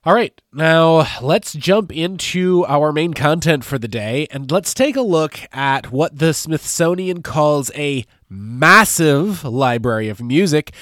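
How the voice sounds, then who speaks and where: A man's voice: fairly high pitch, expressive, slow pace, few pauses, a bit bright, no echo, studio quality. A man with a stuffy nose from a cold, hosting a podcast in a friendly, earnest tone. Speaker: podcast host. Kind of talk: casual podcast talk.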